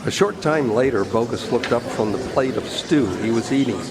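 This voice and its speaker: A man's voice: medium pitch, moderate pace, noisy background. A man narrating an old-time radio Western from a script. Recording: echoey hall with music.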